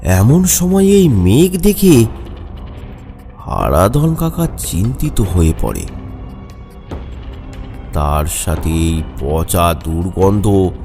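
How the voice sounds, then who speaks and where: Slow, deepish male horror storyteller. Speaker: narrator. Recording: music behind the voice.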